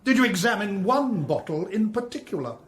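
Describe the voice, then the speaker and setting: An actor playing a pompous, relentless English barrister in a radio drama, cross-examining in an imperious, precise, theatrically deliberate way. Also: male voice, high-pitched, very animated. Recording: roomy courtroom-like echo.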